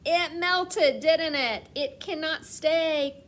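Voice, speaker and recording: A woman reading a picture book aloud like a kindergarten teacher, stressing words expressively and putting questions to the children. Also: high voice. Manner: asks questions and pauses as if children answer; expressive storytelling with slowed, emphasised words. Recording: clear background noise.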